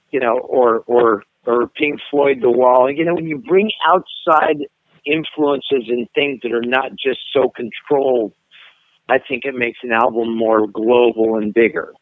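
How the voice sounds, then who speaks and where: A man's voice: mid-range voice, dry recording. Hesitant middle-aged man on a phone line. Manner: hesitant, restarts often, thoughtful. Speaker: singer being interviewed. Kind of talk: casual interview over a phone line. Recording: dry tinny phone line.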